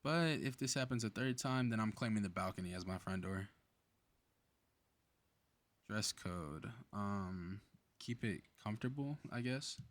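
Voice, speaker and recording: A man's voice: on the low side, expressive, unhurried, pauses often. A man playing a cocky, playful superhero in a flirty ASMR roleplay audio drama, with casual teasing banter.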